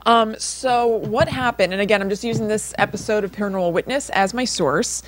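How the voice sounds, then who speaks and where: Casual female podcast co-host, mid-pitched, chatty and informal storyteller. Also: fairly quick. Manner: chatty, informal, frequent use of "like".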